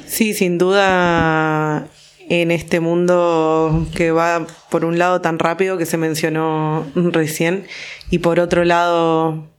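Expressive woman hosting a radio chat. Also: fairly low pitch, slow pace, smooth. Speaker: radio program host.

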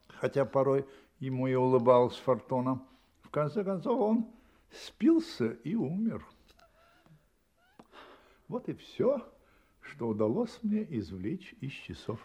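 A brilliant detective in a radio drama, self-assured and analytical. His slightly rough, husky voice moves freely up and down as he sets out his reasoning with deliberate emphasis and theatrical pauses. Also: a little high, darkish tone, full character voice. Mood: measured, assured, then grave. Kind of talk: Russian radio play acting.

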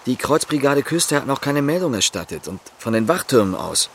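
A man's voice: medium pitch, very animated, fairly quick, a little hiss or hum. An actor playing a dutiful, guarded East German policeman in a radio drama, speaking tersely and with restraint. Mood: hushed, calming.

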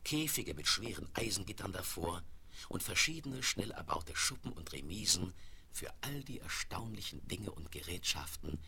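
A man's voice: low-pitched, expressive, moderate pace, gravelly. A man playing the storyteller of a children's radio play, reading scripted narration. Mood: narrating. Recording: crackly 1970s vinyl record rip.

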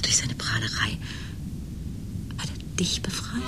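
An actress playing a worried, intense woman in a German radio drama, pleading emphatically and often sinking into breathy whispers. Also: a little slow, over loud music, lightly acted. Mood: hushed pleading. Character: worried, urgently pleading woman, partner of chess player. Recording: noisy 1980s radio drama recording.

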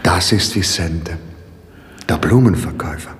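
An actor playing a gentle, devout priest in prison, pensive and deliberate, in 1960s German radio drama style. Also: male voice, deep voice. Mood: quietly earnest. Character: imprisoned devout priest, gentle, brooding, awaiting execution. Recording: reverberant, noisy old radio recording.

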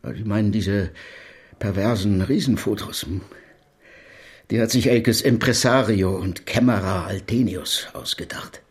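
A bitter, accusing brother played by a man in a radio play. His voice is on the low side, and he speaks formally and insistently, with open scorn. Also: a little slow, natural voice. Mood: scornful, accusing. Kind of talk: German radio play acting.

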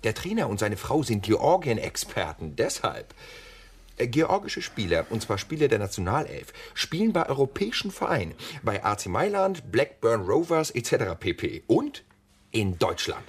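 Casting: pedantic, earnest police detective for radio drama, animated delivery, slightly husky. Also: male voice, mid-range voice, moderate pace. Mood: earnest, explaining. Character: eager, talkative, pedantic male police detective. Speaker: actor in radio crime drama. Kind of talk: German radio play acting.

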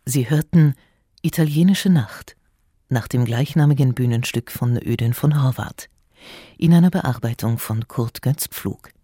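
An announcer reading radio drama credits in a measured, formal manner. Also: mid-range voice, mellow, husky, dry recording, clean studio sound.

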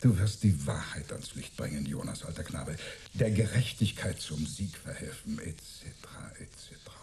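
Sly, deep, dark-voiced crook. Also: male voice, animated, whispered. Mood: smug, conspiratorial. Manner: wheedling, smug, drawn-out words. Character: sly, chummy shady old acquaintance in hiding. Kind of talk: German sci-fi crime radio play acting.

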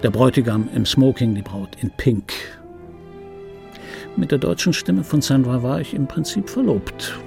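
An actor playing a wry, world-weary middle-aged film dubbing actor, reminiscing in a dry, laconic, intimate radio play monologue with a gravelly, husky voice. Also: male voice, medium pitch, moderate pace, darkish tone, soft background music, natural voice. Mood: dry, ironic, then resigned, musing. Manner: dry, laconic, musing aloud. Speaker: radio play actor, first-person narrator.

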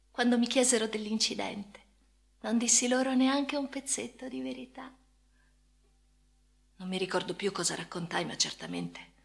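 An actress playing a troubled, defiant young woman in a radio monologue, recounting her life with an intense delivery that moves between hushed and agitated. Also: medium pitch, gravelly. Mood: bitter, defiant. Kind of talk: dramatic first-person radio monologue. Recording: music under the voice. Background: music.

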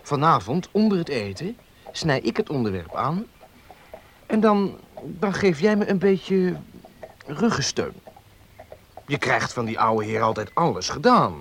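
An actor playing a charming, spoiled young student, smooth-talking and teasing in a radio drama. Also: male voice, on the high side, very animated, unhurried, pauses often, fairly bright tone, slight background noise, exaggerated voice. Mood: sheepish, wheedling. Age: young adult.

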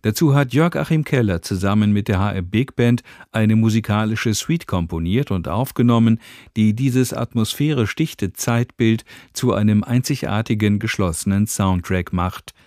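A man's voice: fairly low pitch, moderate pace, a little mellow, dry recording, studio quality. A man working as a radio announcer, reading a scripted programme introduction.

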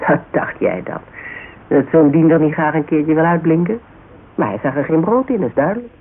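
An actress playing a cautious, sceptical female private detective in a conversational Dutch radio drama, measured and probing. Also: deep voice, expressive. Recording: narrow, faintly noisy old radio broadcast.

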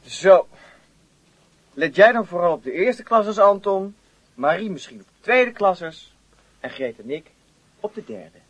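Casting: bossy outing leader for radio play, high-pitched, husky, brisk and directive. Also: male voice, expressive, a bit bright, dry recording, heavily acted. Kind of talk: radio drama acting.

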